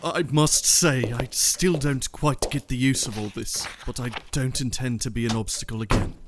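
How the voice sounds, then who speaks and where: A man acting in an audio drama as a bereaved, reserved Victorian gentleman who speaks formally. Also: mid-range voice, fairly even intonation, moderate pace, background noise, close to an everyday voice. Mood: reserved, sincere.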